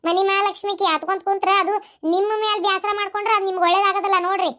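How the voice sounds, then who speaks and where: A woman's voice: extremely high pitch, little pitch movement, very fast, bright, forceful tone. A woman voicing a bossy, indignant middle-aged village daughter-in-law in a cartoon, lecturing insistently with a North Karnataka accent. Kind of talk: village comedy cartoon dialogue.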